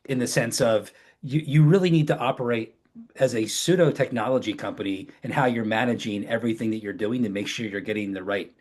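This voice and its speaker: A man presenting in a business webinar in a conversational product-pitch style. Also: fairly quick, a little mellow. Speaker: webinar presenter, company founder.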